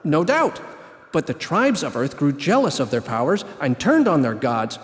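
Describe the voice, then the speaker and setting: A man playing a scornful, excitable archaeologist in a video game, condescending and theatrical. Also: fairly high pitch, moderate pace, long reverb tail. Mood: lecturing, rapt. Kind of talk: video game cutscene acting.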